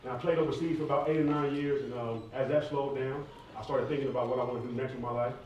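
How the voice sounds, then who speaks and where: A man's voice: mid-range voice, fairly even intonation, quick pace, a little mellow, dull audio. An American man from near Atlanta speaks candidly and casually in slangy phrases, answering questions at a live event. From southeastern United States, near Atlanta. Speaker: hip hop artist and former college athlete answering questions. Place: large echoey indoor room at a live event. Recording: echoey hall, distant mic, faint noise.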